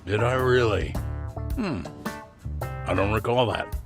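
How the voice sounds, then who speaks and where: An actor playing a genial, slightly sheepish law office boss in an English game dub, with a creaky voice. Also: male voice, fairly low pitch, takes it slowly, music in the background.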